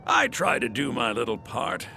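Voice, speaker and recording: A man's voice: mid-range voice, huge pitch swings, moderate pace, vocal fry, bright, forceful tone, soft background music, full character voice. A man playing a smug, lecherous wealthy merchant in a video game, self-important and oily in manner.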